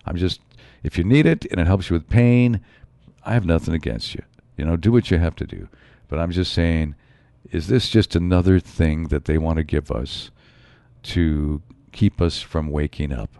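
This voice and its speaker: Deep raspy man with a cold. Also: normal speed, mellow, no room sound. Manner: rambling, musing, frequent asides. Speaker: show host. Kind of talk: solo podcast monologue.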